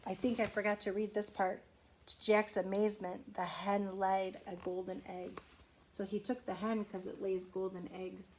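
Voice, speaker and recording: A woman reading a storybook aloud to children, expressively, pausing to wonder aloud and explain things simply. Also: mid-range voice, little pitch movement, darkish tone, a little hiss or hum, low-quality recording. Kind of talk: children's read-aloud with asides to the listener.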